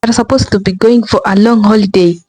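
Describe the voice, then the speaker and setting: A woman's voice: medium pitch, steady tone, quick pace, warm tone, husky, no room sound. Young Kenyan woman vlogger, chatty and rambling, close dry mic. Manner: rambling, many restarts and filler words. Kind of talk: casual commentary to viewers.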